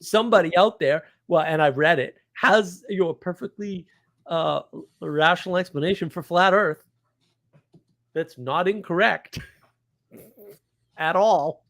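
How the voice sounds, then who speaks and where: A man's voice: high voice, unhurried, creaky, pauses often, a bit bright. A man talking casually and off the cuff as a live stream host, with a blunt, opinionated, rambling manner. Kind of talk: casual unscripted monologue.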